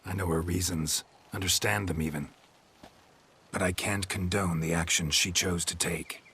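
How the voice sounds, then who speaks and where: A man playing a stoic, weary monster hunter in a video game, speaking in a terse, restrained way with a gravelly voice. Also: low-pitched, moderate pace, a little hiss or hum. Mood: measured, calm. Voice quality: gravelly, husky. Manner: terse, restrained, even-handed. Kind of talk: video game cutscene acting.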